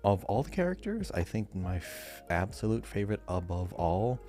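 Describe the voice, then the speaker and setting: A man's voice: fairly low pitch, big pitch swings, normal speed, warm tone, soft background music. A man talking casually as co-host of a podcast. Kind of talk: casual chat.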